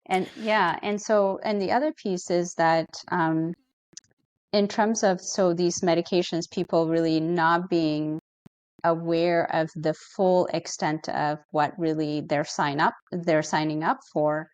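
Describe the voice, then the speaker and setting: A middle-aged woman from Ethiopia, a therapist speaking as a podcast guest in an earnest, reflective and measured way. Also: lowish voice, fairly even intonation, darkish tone, clean tone, dry recording. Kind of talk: podcast interview.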